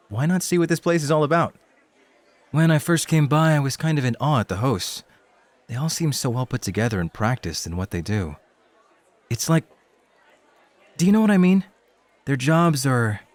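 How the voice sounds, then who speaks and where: A man acting as a rookie club host in an ASMR roleplay, earnest, casual and self-conscious. His mid-pitched voice moves up and down a lot, captured dry and close. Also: dry recording, lightly acted. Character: nervous, earnest rookie male club host, easily flustered. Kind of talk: scripted ASMR roleplay audio.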